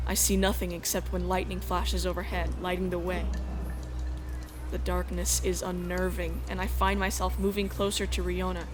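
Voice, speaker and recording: A woman's voice: on the low side, background noise, lightly acted. A woman playing an earnest exiled princess, giving first-person narration and dialogue in a game fan dub. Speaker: narrator and lead character.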